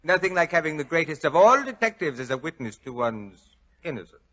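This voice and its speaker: A man's voice: a little high, sing-song, fairly quick, bright, forceful tone, full character voice. An actor playing a fussy, effusive Frenchman with a flowery, slyly menacing politeness and a French accent, in 1940s radio style. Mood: smooth, guarded. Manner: flowery, pompous, overly polite. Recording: dull old-time radio recording.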